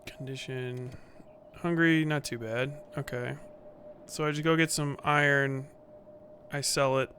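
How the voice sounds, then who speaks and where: A man's voice: mid-range voice, fairly even intonation, a little slow, bright, forceful tone, a little hiss or hum. A man streaming a game, muttering casual commentary, swearing and reading menus aloud.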